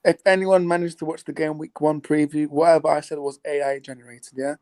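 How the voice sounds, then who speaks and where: A nineteen-year-old man co-hosting a casual football talk, excitable and teasing, swearing and repeating "mate, yeah". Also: on the high side, a little fast.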